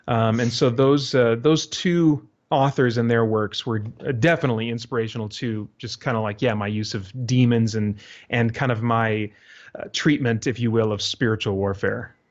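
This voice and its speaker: A man being interviewed casually as a guest, speaking in a halting way as he thinks aloud. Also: mid-range voice, highs cut off. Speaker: interview guest, audio drama creator.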